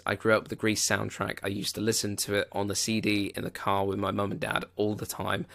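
A man's voice: fairly low pitch, gravelly, a bit bright. A man hosting a film podcast, talking casually and enthusiastically through his favourite musicals with lots of "you know" fillers. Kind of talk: casual film review monologue.

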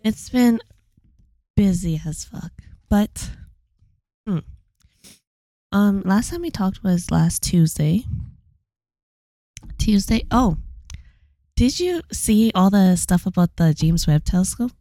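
A woman's voice: medium pitch, expressive, takes it slowly, long pauses, mellow, dry recording. A young woman co-hosting a podcast in laid-back casual chat, her voice worn and losing out. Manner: laid-back, enthusiastic with swearing. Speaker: podcast co-host.

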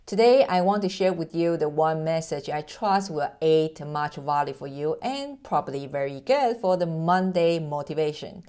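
A woman's voice: deep voice, expressive, warm tone. A woman from Taiwan with a mixed accent built on Taiwanese Mandarin, giving an earnest, encouraging talk as a business coach. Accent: mixed, Taiwanese Mandarin-based with American and British traces. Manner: earnest, encouraging, slow and deliberate. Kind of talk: motivational vlog address.

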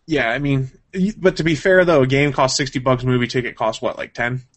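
A man's voice: medium pitch, steady tone, fairly quick. Chatty man co-hosting a casual fan show. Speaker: show co-host. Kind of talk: casual news chat on a gaming and comics stream.

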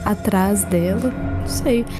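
A woman's voice: mid-range voice, unhurried. A woman with a Brazilian accent, chatting casually in character as a player in a tabletop game. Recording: music behind the voice.

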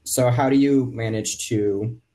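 A man with a medium-pitched voice hosts a casual interview. He puts his questions informally, with slightly hesitant phrasing. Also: fairly even intonation, unhurried, warm tone. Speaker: interviewer, meetup host.

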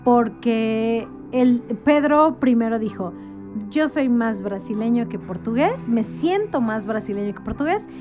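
A woman talking as a guest in a casual conversation, explaining things like an informal storyteller and often checking for agreement. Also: on the high side. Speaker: interview guest.

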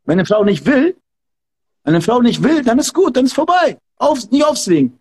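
Husky, emphatic man, high voice. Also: very animated, moderate pace, darkish tone. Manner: emphatic, repetitive, fervent. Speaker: martial arts instructor. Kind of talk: passionate podcast talk.

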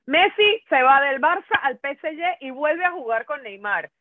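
A woman from Brazil co-hosting a casual sports chat, talking in an indignant, expressive way. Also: sits high, normal speed, a little hiss or hum. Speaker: co-host. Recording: tinny phone-like line.

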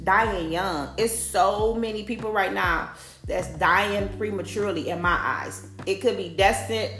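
A woman in her forties speaks frankly and profanely, filling her sentences with casual fillers as she talks straight to her listeners. Her voice sits at an ordinary middle pitch. The room around her is echoey, and faint music plays underneath. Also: a bit bright. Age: 40s. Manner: blunt, profane, conversational, says "like" a lot. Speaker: podcast host. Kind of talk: candid personal monologue.

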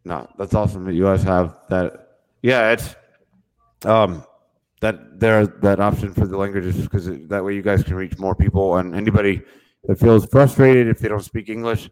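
A man's voice: on the low side, steady tone, moderate pace, darkish tone, low-quality recording. A man hosting a real estate podcast, talking in an upbeat, rambling way with lots of fillers.

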